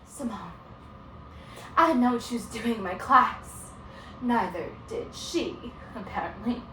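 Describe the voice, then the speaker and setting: A woman's voice: fairly high pitch, animated, a little slow, bright, forceful tone, recorded from a distance, slight background noise. Casting: woman as earnest, weary-of-nonsense female teacher for solo stage drama, restrained and stern, echoey recording. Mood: reflective. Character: exasperated, earnest female teacher, a former psychiatrist. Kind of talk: solo dramatic interpretation performance.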